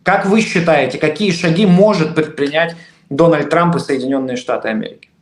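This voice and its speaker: Higher-voiced interviewer in echoey room. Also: male voice, brisk. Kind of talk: interview questions.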